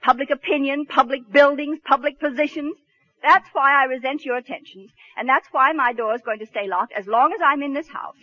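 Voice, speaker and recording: An actress playing an exasperated, sharp-tongued, hurt wife in a 1940s radio drama, scolding and indignant. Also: female voice, high-pitched, a little fast. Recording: muffled 1940s radio broadcast.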